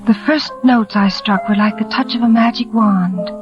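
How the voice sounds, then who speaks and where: An actress playing a shy, fearful, devoted young bride, wistful and emotional, in a 1940s radio drama. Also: female voice, on the high side, steady tone, normal speed, close to an everyday voice. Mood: yearning. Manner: wistful, hushed, emotional delivery. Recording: dull old 1940s radio recording with music.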